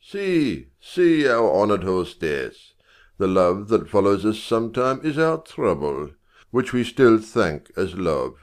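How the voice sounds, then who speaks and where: A man playing a gracious, stately king with a measured, courtly manner in a Shakespearean audiobook drama. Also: on the low side, big pitch swings. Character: gracious, stately male king visiting as guest.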